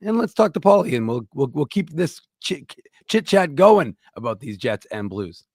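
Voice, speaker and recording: A man's voice: fairly high pitch, sing-song, normal speed, darkish tone, slightly rough, no room sound, studio quality. A man co-hosting a hockey talk show, giving emphatic, opinionated takes in casual chat.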